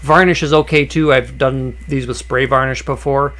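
A man explaining a woodworking project in a relaxed, conversational voice-over, with frequent "uh" fillers and small restarts. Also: medium pitch, moderate pace. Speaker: woodworking channel host. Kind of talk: voice-over narration of a workshop project.

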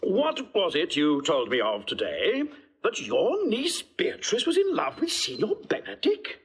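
Theatrical, husky, high-voiced scheming prince. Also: male voice, huge pitch swings, measured pace, exaggerated voice. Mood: feigned surprise. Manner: theatrical, mock-earnest, courtly. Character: genial scheming prince, staging talk to be overheard. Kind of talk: classical Shakespearean stage acting.

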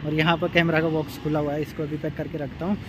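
A man's voice: fairly high pitch, little pitch movement, fast talker. A young man chatting casually to camera as a motovlogger, explaining each step to his viewers, recorded outdoors on a phone and then on a helmet-mounted camera. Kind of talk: casual vlog talk to camera. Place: outdoors by a city roadside, later riding a motorbike. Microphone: phone camera, then helmet-mounted action camera. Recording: noisy street recording with traffic and wind. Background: traffic and vehicle noise.

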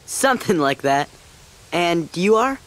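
A man voicing a friendly, upbeat teenage boy hero in an animated game, casual and earnest. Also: high voice, unhurried, slight background noise, heavily acted. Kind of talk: animated video game character acting.

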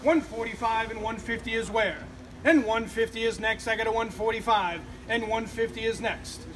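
A middle-aged man working as an auctioneer, calling bids in a rapid, rhythmic, repetitive chant outdoors on a street. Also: very high voice, fairly even intonation, fairly bright tone. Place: outdoors on a street beside a building. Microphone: far camera. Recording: distant outdoor recording, street noise.

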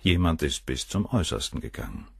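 An actor playing a self-assured, provocative doctor in a crime radio play, with a gravelly voice and a cool, superior, emphatic manner. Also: male voice, very deep voice, sing-song, brisk, no echo, studio quality, heavily acted.

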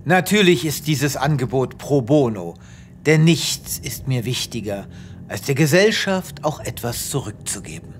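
An actor playing a self-important space veterinarian with a pompous manner in a comedic audio drama. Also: male voice, medium pitch, big pitch swings, a little slow. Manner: pompous, reassuring bedside chatter.

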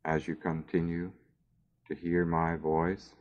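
A man narrating a scripted guided meditation in a measured, soothing way with long pauses, on a dull recording. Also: sits low, completely flat delivery, darkish tone.

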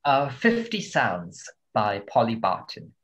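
A man's voice: mid-range voice, wildly swooping pitch, slow pace, muffled recording. A male translator guest talking about books in a thoughtful, hesitant way with many ums. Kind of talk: casual podcast interview.

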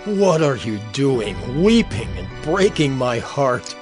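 An actor playing a resolute, anguished apostle, impassioned and earnest, in an audio Bible drama. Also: male voice, mid-range voice, sing-song, slow pace, over music. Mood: anguished resolve.

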